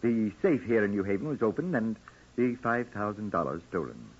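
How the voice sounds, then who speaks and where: Kindly old judge, widely swooping voice, husky. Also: male voice, on the low side, sing-song. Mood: measured, explanatory. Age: older. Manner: courteous, deliberate, hesitant phrasing. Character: kindly retired old judge, thoughtful and courteous. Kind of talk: 1940s radio acting. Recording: dull old radio recording.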